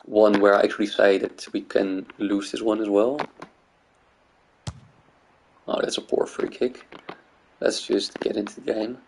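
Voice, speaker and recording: A man's voice: fairly low pitch, flat delivery, unhurried, gravelly, long pauses, darkish tone, a little hiss or hum, poor audio. A man from Greece making a gaming video, thinking aloud in casual commentary.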